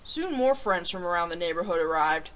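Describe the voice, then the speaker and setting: A woman hosting a children's story show, reading aloud in an animated, expressive way. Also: lowish voice, fairly quick, poor audio.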